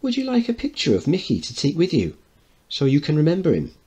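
A man voicing a warm working-class mother who comforts a child with gentle, colloquial, hushing talk. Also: fairly high pitch, wildly swooping pitch, brisk, breathy edge, pitched above the performer's own voice, heavily acted. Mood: tender.

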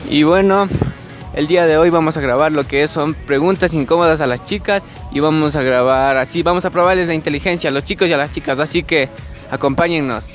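A man's voice: fairly high pitch, fairly quick. Cheeky Ecuadorian young man on the street. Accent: Ecuadorian Spanish. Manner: cheeky, teasing questions. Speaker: street interviewer. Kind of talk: casual street interview. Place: outdoor city street. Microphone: phone held out as a mic. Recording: music playing over the street sound.

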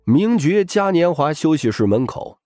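A man's voice: fairly high pitch, very animated, normal speed, warm tone, no echo, faint music behind. A man reading scripted, dramatic audiobook narration.

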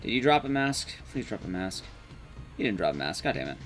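A man's voice: on the low side, sing-song, fairly quick, music in the background. A young man giving casual, sweary, sarcastic live commentary as he speedruns a game. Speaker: speedrun streamer. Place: indoor room at home, streaming setup.

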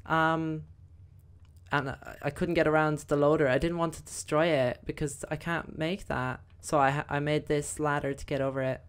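A young woman with a slightly croaky voice, chatting casually through gameplay commentary with lots of fillers. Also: sits low, fairly even intonation, normal speed. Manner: chatty, rambling, many fillers. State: croaky, voice recovering. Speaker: gaming video host.

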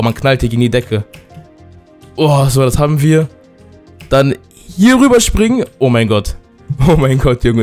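Hoarse, excitable German-speaking man gamer. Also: medium pitch. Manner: excitable, loud outbursts, keeps addressing viewers. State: hoarse from a cold. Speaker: gaming YouTuber. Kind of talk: excited let's-play commentary.

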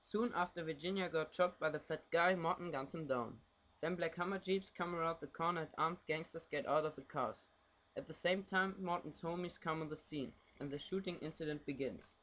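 A man's voice: on the high side, a little fast, a bit bright, rough recording. A young man from Germany giving scripted narration for an amateur radio play.

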